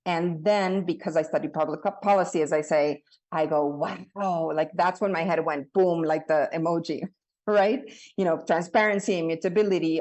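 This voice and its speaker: A woman in her thirties with a low voice talks through her own story as an interview guest. She grew up in Latin America and speaks earnestly, restarting her sentences often as she goes. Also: a little mellow. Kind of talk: casual conversational storytelling.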